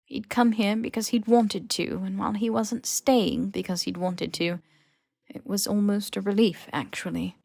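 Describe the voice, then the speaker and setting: A woman reading fan fiction as expressive scripted narration, with emotive, dramatic phrasing. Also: mid-range voice, normal speed, vocal fry, no echo. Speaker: podfic reader.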